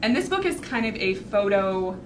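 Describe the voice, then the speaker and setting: A woman's voice: medium pitch, very animated, normal speed. A woman interviewing a guest in a casual, friendly way. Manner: friendly, giggly. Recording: noisy, distant mic.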